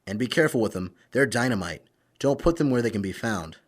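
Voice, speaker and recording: A man's voice: lowish voice, lively intonation, fast. A man playing a confident masked vigilante in an old-time radio crime drama, clipped, sly and commanding. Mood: stern.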